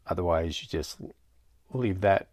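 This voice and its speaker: Deep voiced guy with some gravel, explaining how a product works, matter of fact, really dry sound. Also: unhurried, darkish tone, no room sound. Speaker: product demonstrator. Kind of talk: casual product demonstration, explanatory.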